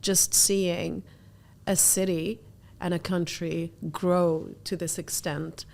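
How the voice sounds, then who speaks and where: Low-voiced woman in reflective interview. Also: steady tone, takes it slowly, gravelly, darkish tone, a little hiss or hum. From born in Algeria, grew up in the Middle East. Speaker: interview guest, entrepreneur. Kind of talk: casual reflective conversation.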